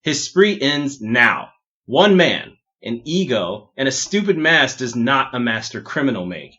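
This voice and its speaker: A man's voice: mid-range voice, very animated, unhurried, bright, forceful tone, muffled recording, voice pushed up. A man voicing a blustering, arrogant male police inspector who sounds pompous and scornful, in an audio drama.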